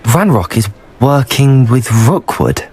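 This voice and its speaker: A young man playing a modest, serious student hero with a husky voice in a fantasy game. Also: medium pitch, big pitch swings, slow pace, a little mellow, a little hiss or hum. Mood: wary. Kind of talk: video game voice acting.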